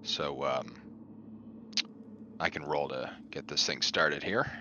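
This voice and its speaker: A man's voice: very deep voice, slow pace, lo-fi sound, noisy background. A man playing a tabletop roleplaying game, casually describing his moves as a player. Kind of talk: casual in-game narration of actions.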